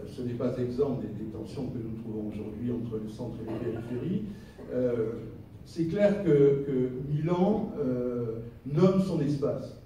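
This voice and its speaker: A man with a French accent from France giving a semi-improvised conference lecture, halting and searching for his words. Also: mid-range voice, warm tone. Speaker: conference speaker, geographer. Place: conference hall. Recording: roomy echo, distant mic, faint noise.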